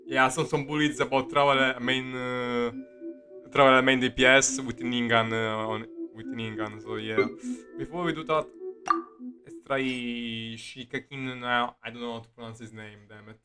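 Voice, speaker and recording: A man's voice: mid-range voice, level tone, moderate pace. A man giving casual, rambling gameplay commentary as a streamer, with muttered asides and some swearing. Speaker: game streamer. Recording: loud game music behind voice.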